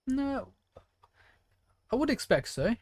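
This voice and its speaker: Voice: high voice, sing-song, slow, a little mellow, dry recording, clean studio sound. A game streamer giving casual live commentary, thinking aloud and speculating in a musing way. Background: game music.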